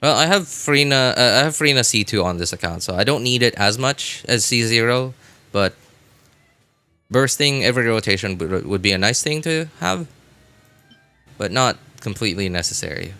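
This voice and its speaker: A man's voice: medium pitch, expressive, normal speed, bright, forceful tone, clean tone, dry recording, faint music behind. A man livestreaming a video game, chatting casually with viewers in a laid-back, informal way and muttering asides to himself. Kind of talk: casual gaming stream commentary, chatting with viewers.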